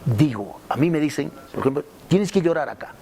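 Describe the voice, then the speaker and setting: A man's voice: on the high side, expressive, a little fast, dark tone, a little hiss or hum. A man with a Peruvian Spanish accent speaking expressively, as an acting coach giving an interview, often repeating himself for emphasis. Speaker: acting coach and radio drama actor. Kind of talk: interview testimony with acted demonstrations.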